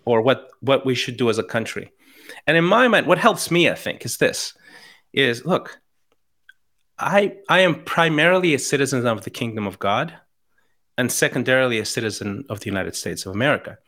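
A man's voice: mid-range voice, animated, normal speed, a bit bright. A middle-aged man from Chile with a Chilean accent, talking earnestly as a podcast guest and often restarting his sentences. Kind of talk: casual conversation.

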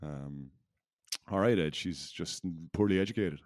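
A man with a very low voice co-hosts a casual podcast. He speaks in a loose, rambling flow, often poking fun at himself. The sound is close and dry, with hardly any room in it. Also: unhurried, a little mellow, no echo. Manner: rambling, jokey, self-deprecating. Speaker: podcast co-host. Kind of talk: casual unscripted podcast chat.

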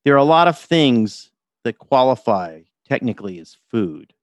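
A man's voice: medium pitch, big pitch swings, moderate pace, no room sound. A man guest on a podcast, chatting casually and self-deprecatingly with many fillers while a little dizzy from illness. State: slightly dizzy, recovering from illness. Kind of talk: casual interview chat.

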